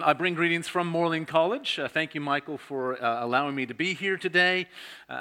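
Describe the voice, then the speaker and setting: Middle-aged canadian guy preaching, bright voice, chatty and jokey about himself. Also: mid-range voice. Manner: self-deprecating, lively and conversational. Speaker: guest pastor. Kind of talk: sermon.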